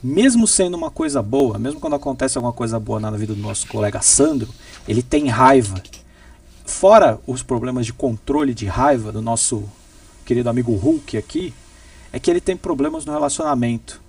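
Male gaúcho co-host, casual and jokey, lively swings in pitch, creaky texture, slightly off-mic. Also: fairly quick, recorded from a distance. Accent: southern Brazilian, gaúcho. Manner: jokey, playful. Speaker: co-host reading listener questions. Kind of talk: casual advice show chat.

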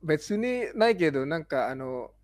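A young man who grew up speaking another language talks haltingly, full of pauses and fillers, switching between English and Japanese. His voice sits a little high for a man. The sound is dry and close. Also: sing-song, a little mellow, dry recording. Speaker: guest. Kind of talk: casual chat.

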